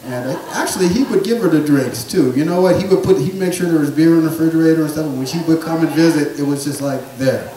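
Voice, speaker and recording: A man telling rambling family stories in a stand-up set, with a soft voice he says he has. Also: fairly high pitch, fast talker, hardly any pauses, heavy reverb, recorded from a distance, poor audio. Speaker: stand-up comedian. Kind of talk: storytelling stand-up comedy. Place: small theatre or bar stage.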